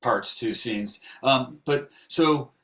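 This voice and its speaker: A man's voice: medium pitch, slow, fairly bright tone. A man who programs a film festival, hosting a casual Q&A with filmmakers over a video call.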